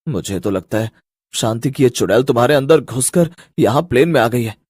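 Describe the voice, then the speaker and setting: A man voicing a fretful, talkative husband in a cartoon, with a husky, expressive delivery. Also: mid-range voice, brisk, no echo, studio quality. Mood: resigned.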